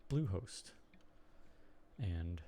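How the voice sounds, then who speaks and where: A young man with a Chicago accent, chatting casually and haltingly to viewers on a live stream from his computer desk. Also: low voice, lively intonation, warm tone, a little hiss or hum. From Chicago area, United States. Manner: rambling, halting, lots of ums and restarts. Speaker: streamer and blogger.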